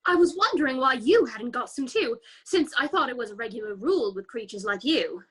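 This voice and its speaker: A woman's voice: a little high, lively intonation, a little fast, fairly bright tone, slightly rough. A woman voicing a pert, disdainful talking garden flower in a children's read-aloud play, sassy and emphatic. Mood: knowing.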